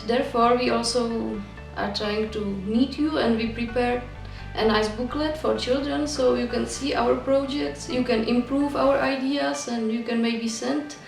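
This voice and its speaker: A young woman with a fairly high, gravelly voice speaks in an earnest, upbeat way. She sits back from the mic in an echoey room, and faint music runs underneath. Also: fairly even intonation, a little slow, recorded from a distance. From Czech Republic. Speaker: PhD researcher. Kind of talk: video testimonial.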